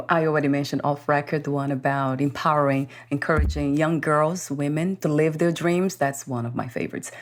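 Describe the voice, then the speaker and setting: Low-voiced woman host. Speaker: interview host. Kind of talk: interview questions.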